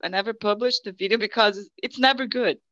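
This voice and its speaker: A woman from Brazil, a podcast guest chatting casually in halting phrases that restart often. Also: mid-range voice, a bit bright, no room sound, muffled recording.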